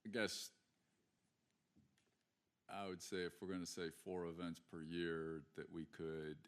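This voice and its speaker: A township supervisor thinking aloud in a meeting, weighing options with a dry sense of humor. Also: male voice, low-pitched, fairly even intonation, a little slow. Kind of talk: meeting discussion.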